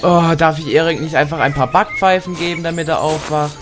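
A man's voice: a little high, fairly even intonation, fairly quick. A man acting in an amateur audio play with a complaining manner. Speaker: cast member playing himself in audio play. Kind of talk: amateur scripted audio drama dialogue. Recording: music behind the voice.

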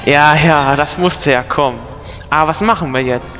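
A man's voice: a little high, moderate pace, sharp tone, faint music behind. A man playing an earnest adventurer in an amateur audio drama parodying video games. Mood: sceptical.

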